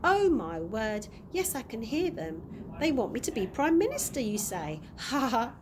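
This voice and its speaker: Storybook tramp, female reader: courteous, grandly self-pleased gentleman of the streets, high-set and animated, audible background noise. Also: a little slow. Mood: delighted, grand. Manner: polite, old-fashioned, grandly self-pleased. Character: cheerful, vain, courteous old male tramp. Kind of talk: storybook character reading.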